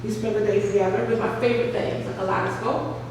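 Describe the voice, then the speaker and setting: A woman's voice: medium pitch, fairly even intonation, fast, a little mellow, distant mic, slight background noise. A woman author reading aloud from her book with earnest, heartfelt storytelling at a cafe. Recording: echoey room, voice from afar.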